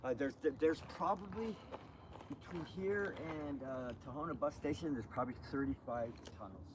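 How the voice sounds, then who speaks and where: A man from Afghanistan talking outdoors to a vlogger, rambling and halting, repeating his words. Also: on the high side, rough recording. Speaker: passerby interviewed. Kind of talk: casual conversation. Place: outdoors by a river walk path.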